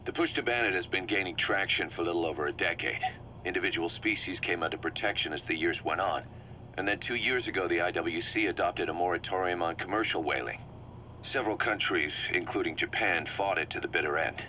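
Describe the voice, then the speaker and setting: A man's voice: low voice, brisk, close to an everyday voice. A man playing a driven, shrewd mercenary commander in a video game, briefing his boss in an emphatic, assured way. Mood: matter-of-fact. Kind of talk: scripted video game dialogue, explaining plans. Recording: muffled cassette-like sound with background hum.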